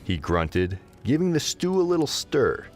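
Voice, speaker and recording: A man narrating a scripted fantasy story as an expressive audio drama narrator. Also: mid-range voice, measured pace, faint music behind.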